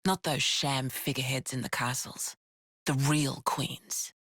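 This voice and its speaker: A low, frank woman resistance leader. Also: level tone, a bit bright, slightly rough, dry recording, studio quality, exaggerated voice. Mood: solemn explaining. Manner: plain-spoken, informal, measured storytelling. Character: frank, steady female resistance leader explaining old legends. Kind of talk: video game cutscene acting. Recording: music behind the voice.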